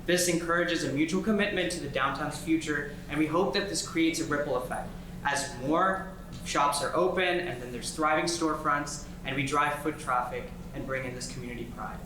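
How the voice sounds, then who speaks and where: Higher-voiced young man in echoey room. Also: moderate pace. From North Carolina, United States. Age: young adult. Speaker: student presenter. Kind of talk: group project presentation. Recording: very echoey room with clear noise.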